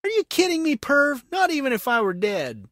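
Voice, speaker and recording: A sassy, bossy wife from a comic holiday poem, put on by a man, high and swooping in pitch. She exclaims in scornful indignation, close and dry. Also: wildly swooping pitch, normal speed, fairly bright tone, dry recording, heavily acted. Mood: indignant.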